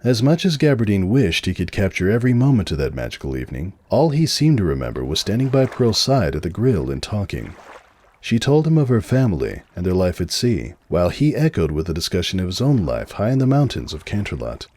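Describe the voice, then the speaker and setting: Deep, expressive male storyteller. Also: brisk. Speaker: narrator. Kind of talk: dramatic storybook narration.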